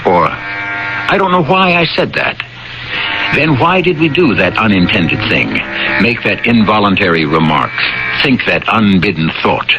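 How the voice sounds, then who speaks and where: A man introduces a radio mystery in a low, theatrical voice. His delivery is deliberate and ominous, with lively rises and falls. The old broadcast sounds dull, with music behind. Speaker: radio drama host.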